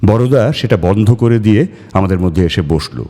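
Man, low voice, long hall reverb, measured dramatic narration, suspenseful storyteller. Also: expressive, mellow, soft background music.

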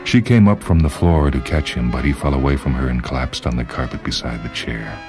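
A man's voice: a bass voice, brisk. A man reading a short story as dramatic literary narration. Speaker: story reader. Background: music.

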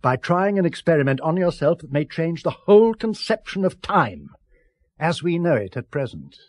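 A man's voice: a little high, very animated, normal speed, no room sound. An actor playing an intense, persuasive male scientist in a 1970s British radio drama, articulate and insistent. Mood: earnest, persuasive.

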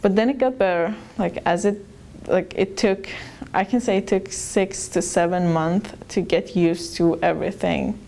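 Soft-voiced young woman from Iran. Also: fairly low pitch, steady tone, moderate pace, creaky. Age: young adult. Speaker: interviewee. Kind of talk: personal account. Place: small plain room indoors. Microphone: lapel mic.

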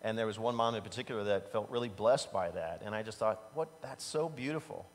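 A man's voice: on the low side, fairly quick, a little hiss or hum. A man in his fifties preaching a casual, conversational sermon from a church pulpit, telling personal stories and chuckling at himself. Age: 50s. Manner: animated storytelling, laughs at his own stories. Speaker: pastor. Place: large church sanctuary stage. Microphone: standing at a pulpit mic, far camera. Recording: long reverb from a church hall.

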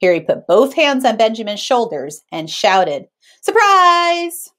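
Woman reading aloud, very animated pitch. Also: moderate pace. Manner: teacherly, checks in with listeners. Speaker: librarian reading aloud to children. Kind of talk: expressive picture-book read-aloud.

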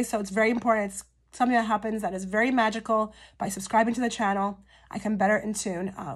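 A woman giving a casual pick-a-card tarot reading straight to her viewers, her voice a little hoarse and cracky from a cold, often checking in with "okay?". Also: medium pitch. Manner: chatty, frequent "okay?" check-ins with viewers. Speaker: tarot reader.

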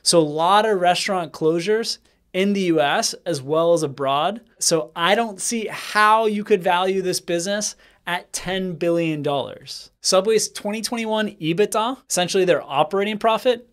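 A man in his thirties gives a skeptical business commentary straight to camera, talking with his hands, in a small bright room with a desk microphone. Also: high voice. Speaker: franchise consultant and channel host. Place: small bright indoor room with bookshelf and plant. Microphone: desk microphone in front of him.

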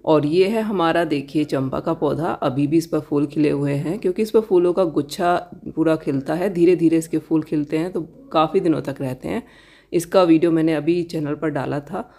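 A woman giving a casual tour of her balcony garden outdoors, gently pointing out plants and giving tips to viewers. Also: deep voice, moderate pace. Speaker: garden vlogger.

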